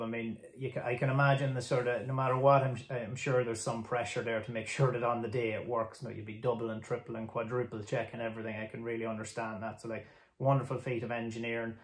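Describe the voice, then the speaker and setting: Flat-toned Irish man in a roomy space. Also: mid-range voice. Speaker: video host, amateur radio hobbyist. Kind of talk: casual commentary to camera. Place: small indoor home radio room. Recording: roomy home-office sound.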